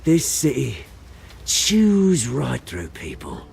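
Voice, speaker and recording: A man's voice: medium pitch, huge pitch swings, slow pace, vocal fry, a little mellow, a little hiss or hum, exaggerated voice. An actor with a British accent playing a cocky, bitter, theatrical fallen rich man in a video game. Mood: bitter, wistful. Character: bitter, scrappy fallen rich man, childhood friend turned volatile.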